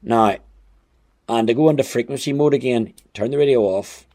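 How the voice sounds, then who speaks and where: A man's voice: mid-range voice, normal speed, dark tone. A man giving a casual, chatty and wry hands-on gadget review. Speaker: amateur radio gadget reviewer. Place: indoors at home.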